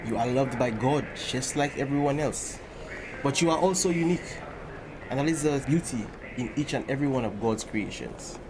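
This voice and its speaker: A man acts out a kindly priest in a drama, with a mid-pitched voice. He talks in a gentle, fatherly, reassuring manner. Clear background ambience runs through the location recording. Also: moderate pace, natural voice. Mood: gently pleading. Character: kindly, older-generation priest gently counselling a parishioner. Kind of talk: dramatic acted dialogue. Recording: noisy location sound with steady ambience.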